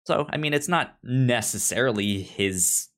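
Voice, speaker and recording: A man with a mid-pitched voice chats casually as a podcast co-host. His delivery is halting, and he often repeats syllables and words while working toward his point. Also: expressive, a bit bright. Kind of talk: casual comic book discussion.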